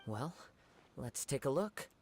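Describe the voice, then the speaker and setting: A man voicing a calm, perceptive young wandering swordsman in a video game, speaking in a measured, analytical way. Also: mid-range voice, a little hiss or hum. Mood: thoughtful.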